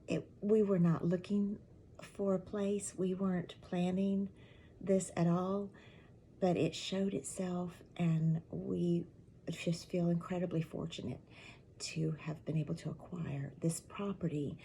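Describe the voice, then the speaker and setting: A middle-aged woman with a raspy, allergy-worn voice, chatting casually to her viewers in a rambling way, her words often trailing into a soft half-whisper. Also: lowish voice, level tone, measured pace, a little hiss or hum. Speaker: channel host. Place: at home with a mug of tea.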